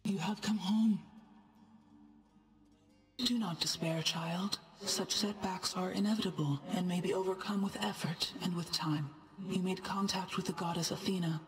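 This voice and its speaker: A woman's voice: lowish voice, fairly even intonation, normal speed, faint music behind. A stately goddess of night in a fantasy game. She speaks formally and with stern composure, and her voice carries a strong echo and long reverb tail. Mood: consoling, solemn. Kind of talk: video game character dialogue.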